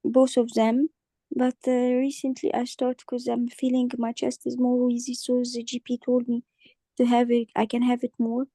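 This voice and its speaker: A woman playing a hoarse, croaky patient. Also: a little high, completely flat delivery, dark tone, no room sound. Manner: halting, many pauses and restarts. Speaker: simulated patient in exam practice.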